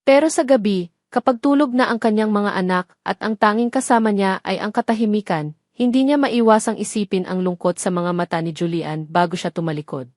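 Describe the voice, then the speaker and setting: A woman narrates a scripted romantic drama in a storytelling style. Her pitch is in the middle range for a woman. The recording is very dry, with no room sound. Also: brisk, a little mellow, no room sound.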